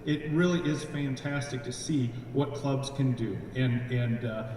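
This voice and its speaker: A man's voice: medium pitch, measured pace, a little mellow, slight background noise. A man who chairs membership, giving an enthusiastic presentation full of earnest restarts. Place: large event room. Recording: echoey hall from far off.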